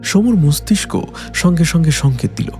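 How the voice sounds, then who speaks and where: Guy narrating a mystery story, mellow voice, goes up and down a lot, music under it. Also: a little high, big pitch swings, normal speed. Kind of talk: dramatic scripted detective story narration.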